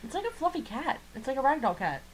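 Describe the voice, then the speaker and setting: A woman's voice: sits high, sing-song, fast, a little hiss or hum, low-quality recording. A woman co-hosting a podcast in casual chat, with a blunt, joking manner and a habit of swearing. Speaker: podcast co-host. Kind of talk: casual chat reviewing an anime.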